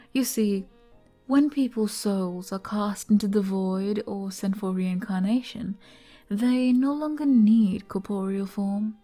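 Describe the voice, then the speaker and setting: A woman playing a flirty, gentle arbiter bartender in an ASMR roleplay, soft-spoken and coy as she explains things patiently. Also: mid-range voice.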